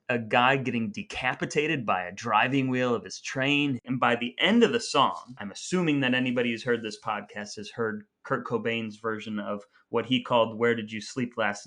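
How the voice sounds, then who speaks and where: A man co-hosting a podcast, talking through music history in a conversational, storytelling way with measured phrasing. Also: mid-range voice, a bit bright. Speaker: podcast co-host.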